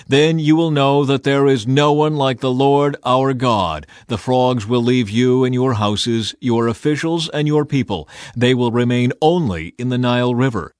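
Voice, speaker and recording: A man's voice: mid-range voice, unhurried, few pauses, smooth, dry recording, clean studio sound, lightly acted. A man voicing a firm, earnest prophet in a scripture audiobook. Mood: firm, assured.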